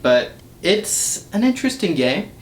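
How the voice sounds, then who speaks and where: A man's voice: sing-song, unhurried. A young man giving a casual, rambling update as host of a gaming channel, recorded indoors in a small room. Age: young adult. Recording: roomy echo, low rumbling noise.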